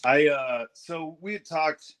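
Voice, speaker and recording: A man's voice: fairly high pitch, takes it slowly, fairly bright tone, slight background noise. A man from Southern California hosting a podcast over a video call, talking in a laid-back, slangy way with lots of "dude.". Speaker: podcast host.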